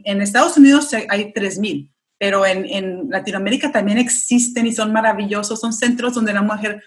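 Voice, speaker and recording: Earnest woman speaking Spanish. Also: medium pitch. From United States. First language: English. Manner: earnest and encouraging, urgent appeals. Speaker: pro-life activist guest. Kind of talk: interview answer, exhorting listeners.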